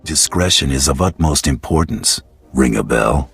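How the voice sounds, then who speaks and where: A man playing a gruff, menacing bounty hunter in a video game, curt and blunt, with a gravelly, husky voice. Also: very deep voice, lively intonation, normal speed, a bit bright, faint music behind, exaggerated voice. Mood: cold menace. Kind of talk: video game cutscene acting.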